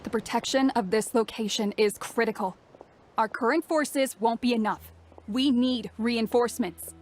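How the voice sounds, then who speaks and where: Woman playing a stern, high-voiced guard officer. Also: normal speed, a little mellow, slight background noise, lightly acted. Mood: stern urgency. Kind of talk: video game voice acting.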